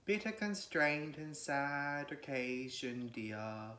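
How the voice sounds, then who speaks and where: A man gives a solemn, declamatory, measured recitation of classical poetry. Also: a little high, sing-song, sharp tone, a little hiss or hum. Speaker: poetry reader. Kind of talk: dramatic recitation of classical verse. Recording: echoey room.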